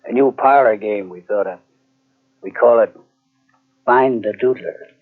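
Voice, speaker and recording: An actor playing a shrewd, needling police detective in 1940s radio mystery style, probing and sardonic. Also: male voice, fairly low pitch, measured pace, natural voice. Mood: sardonic, accusing. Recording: tinny vintage radio recording on cassette.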